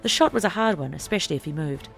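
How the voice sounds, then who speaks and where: Low-voiced woman narrating a dramatic story. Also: animated, fast, vocal fry, faint music behind. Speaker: audiobook narrator.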